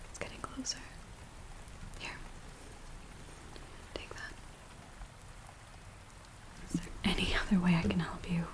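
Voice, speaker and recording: A woman playing an anxious, earnest villager in a hushed, breathy, hesitant ASMR roleplay voice. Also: lowish voice, slow pace, long pauses. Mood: worried, pleading. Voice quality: breathy, whispery soft voice. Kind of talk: soft-spoken ASMR roleplay. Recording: steady rain noise behind close soft voice. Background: rain ambience.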